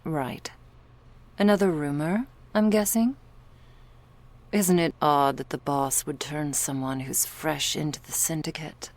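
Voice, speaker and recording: Vampire bodyguard, played by a woman: deep female voice, unhurried, guarded and menacing, intimate ASMR roleplay delivery. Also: a little mellow. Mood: stern, dismissive. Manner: cool, stern, clipped, often dropping to a whisper.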